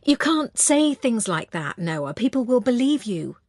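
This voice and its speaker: A woman voicing a highly strung, protective mother in an audiobook, with a tense, insistent manner. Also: normal speed, a little mellow, dry recording, clean studio sound, natural voice. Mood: agitated.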